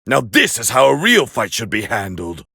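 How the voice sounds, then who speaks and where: A man voicing a proud, scornful alien warrior as a fighting game commentator, gravelly and haughty in an English anime dub style. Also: medium pitch, wildly swooping pitch, moderate pace, dry recording, studio quality, exaggerated voice. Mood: smug, appraising. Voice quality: gravelly, raspy. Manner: haughty, forceful, clipped exclamations.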